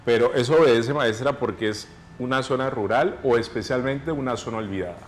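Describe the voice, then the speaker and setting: A man's voice: mid-range voice, fairly quick. A man interviewing a guest, asking long questions and giving short, respectful affirmations.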